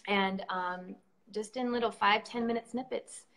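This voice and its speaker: A woman's voice: mid-range voice, fairly even intonation, a little slow, a bit bright. A woman organizer speaking in a live-stream conversation, earnest and effusive, with lots of ums and restarts. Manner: earnest and effusive, with many fillers. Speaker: event organizer.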